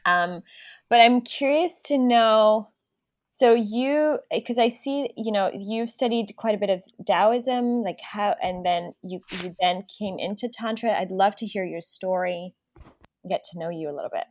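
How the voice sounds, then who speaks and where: A woman who grew up in Africa, a podcast guest, chatting in a lively, easy way. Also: medium pitch, unhurried, no echo. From grew up in Africa, Goan background. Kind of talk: casual conversation.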